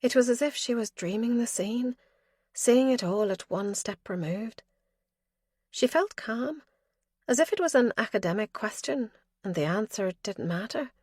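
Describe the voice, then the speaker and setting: A woman's voice: a little high, lively intonation, measured pace, a little mellow, husky, no room sound, studio quality. A woman reading scripted narration as the narrator of a mystery audiobook.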